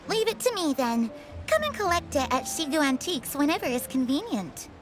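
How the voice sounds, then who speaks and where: A charming antique dealer. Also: female voice, high voice, very animated, fairly quick. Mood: courteous. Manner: courteous, smooth salesperson. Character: polite, charming female antique shopkeeper. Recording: game audio over music.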